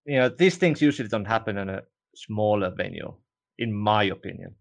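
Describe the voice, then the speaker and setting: A man from Estonia, whose first language is Estonian, hosting an interview in a relaxed way, with frequent "you know". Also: on the low side, brisk, creaky. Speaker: interview host. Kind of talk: casual interview.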